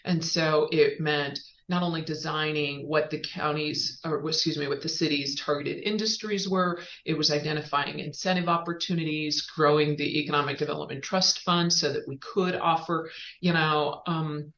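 A woman's voice: sits low, steady tone, normal speed, fairly bright tone. A middle-aged woman from Spain giving a conversational interview answer about herself, correcting herself as she goes. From Spain, on US military bases. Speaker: guest, economic development executive. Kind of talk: interview answer, career narrative. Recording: roomy, slightly dull sound.

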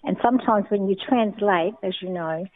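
A woman's voice: mid-range voice, normal speed. Enthusiastic woman caller on a phone line. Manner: laughs often, enthusiastic, restarts words. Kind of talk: casual chat on a phone call.

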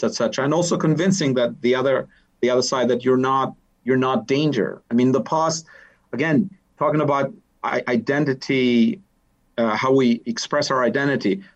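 A man's voice: medium pitch, low-quality recording. A man from Albania with an Albanian accent, talking thoughtfully as an interview guest, often restarting and repeating words. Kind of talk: conversational interview.

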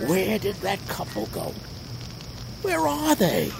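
An actress playing a no-nonsense police officer in an audio drama, brusque and commanding. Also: female voice, mid-range voice, wildly swooping pitch, slow, gravelly, noisy background, exaggerated voice. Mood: bewildered. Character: no-nonsense, bewildered police officer on park patrol.

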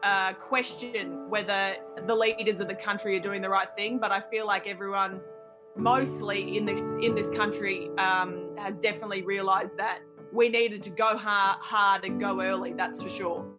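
A woman's voice: medium pitch, fairly even intonation, normal speed. Joking woman over background music. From Australia. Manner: jokey, self-deprecating, rambling with fillers. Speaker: radio host guest. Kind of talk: casual interview chat.

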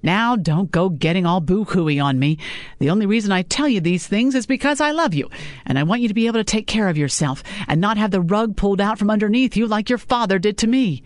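A woman's voice: medium pitch, dry recording, studio quality, close to an everyday voice. A woman reading an audiobook, voicing a stern middle-aged mother who lectures her grown daughter in a pushy, scolding way. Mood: lecturing.

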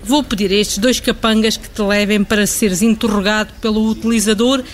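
A woman's voice: mid-range voice, fairly even intonation, brisk, close to an everyday voice. A woman playing a businesslike, unbending newsroom boss in a comedy radio play, matter-of-fact, with a European Portuguese accent. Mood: firm.

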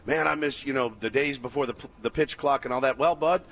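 A man's voice: medium pitch, fast, fairly bright tone. A man co-hosting sports radio with informal, colloquial, chatty delivery. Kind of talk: casual chat.

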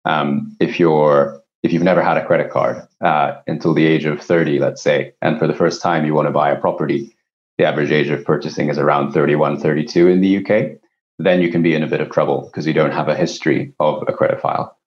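A man with an extremely low voice answers as an interview guest in a conversational, explanatory manner. He is a company executive from Japan, and the recording sounds slightly dull and lo-fi. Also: steady tone, fairly quick. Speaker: company CEO, podcast guest.

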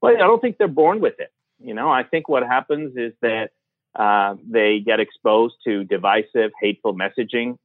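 A man's voice: mid-range voice, moderate pace, no echo. A man, a guest born in Iran and raised in Canada, answering interview questions thoughtfully with many fillers. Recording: tinny phone-like line.

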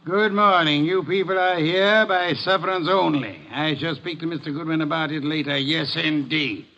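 An imperious, fussy big gourmet detective in a vintage radio play. His voice is high and forceful, with pompous, exact diction, on a dull old recording. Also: fairly even intonation, moderate pace. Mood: haughty, brisk. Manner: pompous, precise, formal diction. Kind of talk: 1950s radio drama acting.

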